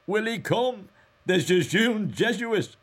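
A man puts on a gaily mocking young fellow from a novel. He declaims in a raised, swooping voice with husky edges. The manner is theatrical and mock-solemn, like a jester playing preacher. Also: a little high, expressive, measured pace, a little mellow, voice pushed up. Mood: mocking, exuberant. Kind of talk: acted dialogue in an audiobook novel.

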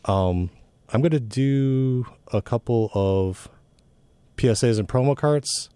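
A man hosting a casual interview, asking questions in a relaxed, conversational way with ums and restarts. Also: mid-range voice, takes it slowly, clear, resonant tone, dry recording. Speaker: interview host.

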